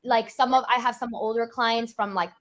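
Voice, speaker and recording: Young woman financial planner, chatty and quick, fillers everywhere, slightly high voice. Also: steady tone. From Europe. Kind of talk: casual interview chat.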